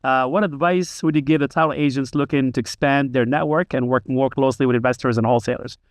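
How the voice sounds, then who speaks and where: Casting note: a male interviewer, a smooth voice in a dry, close recording, stumbling gently through his questions. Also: mid-range voice, steady tone, fast talker, no echo. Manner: hesitant, many "uh" fillers. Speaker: podcast host.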